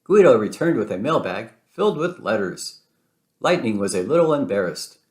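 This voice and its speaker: A man narrating a children's storybook aloud in an expressive read-aloud style. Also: medium pitch, normal speed. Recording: roomy, echoing sound.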